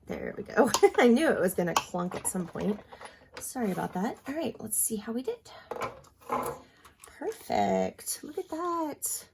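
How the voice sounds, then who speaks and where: A woman's voice: fairly high pitch, wildly swooping pitch, unhurried, creaky, heavy background noise. A woman hosting a crafting tutorial at home, chatting casually with lots of ums and asides, a little sniffly from allergies. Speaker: crafting channel host.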